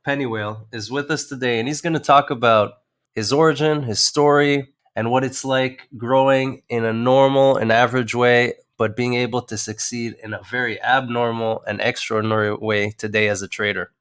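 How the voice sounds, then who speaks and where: A man's voice: mid-range voice, steady tone, normal speed, fairly bright tone, smooth. A man hosting a casual podcast, upbeat and hyping up his guest as he asks questions. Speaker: podcast host.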